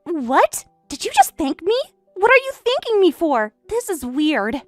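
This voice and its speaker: A woman playing a shrill, smug home-wrecker. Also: big pitch swings, unhurried, dry recording, faint music behind, full character voice. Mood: taunting. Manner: taunting, boastful, indignant. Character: smug, catty young woman who stole her best friend's husband. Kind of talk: voiced story drama.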